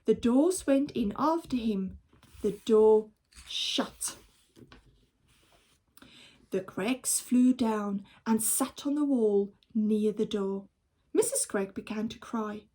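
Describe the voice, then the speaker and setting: A woman reading a children's story aloud in an animated, deliberate storytelling manner, as a teacher does. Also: fairly high pitch.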